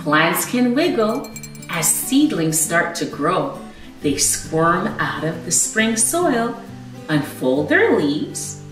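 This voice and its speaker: A woman in her thirties reading a storybook aloud to young children as a teacher, with expressive emphasis and explanations. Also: a little high, recorded from a distance. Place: small living room at home, indoors. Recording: roomy echo, away from the mic.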